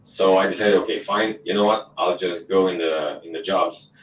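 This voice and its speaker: A middle-aged Romanian man with a very low voice and a Romanian accent tells his own story as a talk show guest, halting and animated, often restarting his sentences. He sits away from the microphone, so his voice comes across distant and echoey. The live-stream sound is dull, with faint noise behind it.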